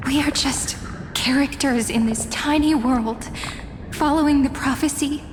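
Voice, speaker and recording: An actress voicing a sorrowful young heroine in a game cutscene, soft and reflective. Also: female voice, high voice, unhurried, big-room sound, background noise. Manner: soft, mournful, reflective delivery with sighs.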